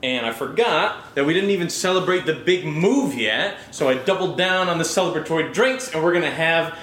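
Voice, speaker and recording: A man's voice: fairly high pitch, expressive, a little fast, fairly bright tone. A man playing a pushy, teasing best friend in casual, sweary audio drama dialogue. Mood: excited, coaxing. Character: pushy, upbeat, teasing male best friend, drinking buddy. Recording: roomy echo, faint background noise.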